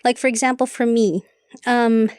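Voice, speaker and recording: A woman's voice: a little high, fairly even intonation, moderate pace, darkish tone, smooth, no echo, clean studio sound. Tentative woman interviewer. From Philippines. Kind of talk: casual interview.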